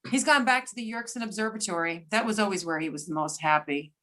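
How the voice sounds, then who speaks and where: Brisk female agent, read by a woman, wry, mid-pitched, for an amateur audio play. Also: animated, sharp tone. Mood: matter-of-fact. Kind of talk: amateur readers theater over a remote call.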